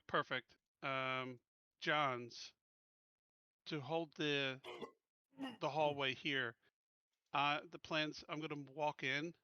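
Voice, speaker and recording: Male gamer, crisp voice, casual halting planning talk, close dry mic, slightly muffled voice-chat audio. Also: mid-range voice, sharp tone, dry recording. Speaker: player in a tabletop roleplaying game.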